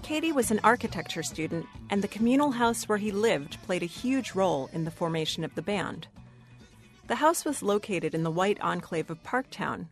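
A woman's voice: mid-range voice, animated, moderate pace, faint music behind. A woman radio reporter reading scripted narration for a feature story.

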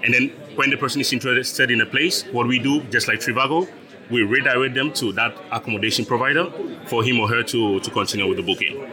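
A man's voice: medium pitch, quick pace, pushed and bright. A young man from Ghana, a startup founder, explaining his business as an interview guest, patient, with frequent laughs and repeated words.

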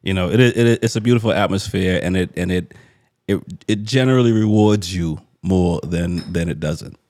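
A man's voice: low voice, normal speed, a little mellow, no echo, clean studio sound. A man hosting a casual chat show, talking in a rambling, repetitive way and laughing easily. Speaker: show host.

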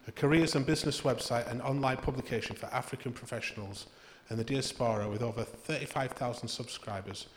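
A male host introduces the next author at a live literary evening. He sounds formal and announcing, in a middling register. The room is roomy and echoey, with a faint hiss underneath. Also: medium pitch. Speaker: event host. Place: live literary event venue.

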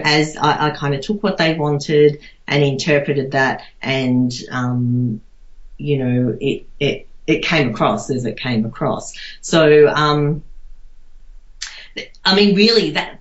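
A woman chatting casually in an interview, hesitant and thinking aloud as phrases trail off. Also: low voice. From Australia. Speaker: interviewee, artist. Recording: dull lo-fi, roomy echo.

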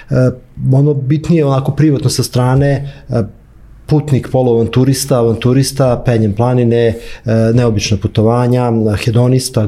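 Man with a mellow, dark voice. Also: medium pitch. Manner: hesitant, frequent fillers. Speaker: guest. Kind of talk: casual self-introduction.

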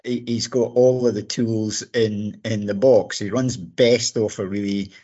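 A middle-aged or older man with a slightly hoarse voice, co-hosting a podcast with casual sports analysis. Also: fairly low pitch, steady tone, measured pace, warm tone, muffled recording. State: a bit hoarse. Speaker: podcast co-host. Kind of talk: casual sports commentary chat.

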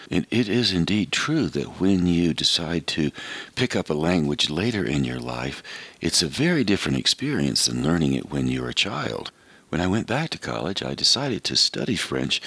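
Deep-voiced middle-aged male host, lively intonation, dry studio sound, reflective and unhurried. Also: gravelly, slightly rough, no room sound. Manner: warm, reflective, unhurried storytelling. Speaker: radio show host. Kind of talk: interview wrap-up and reflective monologue.

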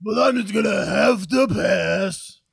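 Man doing a creaky, sulky sitcom big brother. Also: sits high, slow pace, no room sound, clean studio sound, exaggerated voice. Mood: wounded. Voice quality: gravelly, husky. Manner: glum, sarcastic. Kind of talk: sitcom table read.

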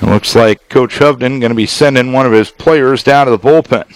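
A man doing live baseball play-by-play as a radio announcer, speaking in measured, clipped phrases with deliberate pauses. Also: medium pitch, no echo, rough recording. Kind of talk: live sports play-by-play commentary.

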